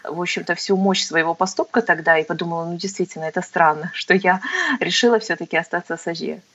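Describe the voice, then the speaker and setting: Woman in her thirties, low dull audio. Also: fairly even intonation, fairly bright tone. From Kazakhstan. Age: 30s. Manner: reflective, unhurried, with frequent fillers. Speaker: guest, tax consultant. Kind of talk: casual interview storytelling.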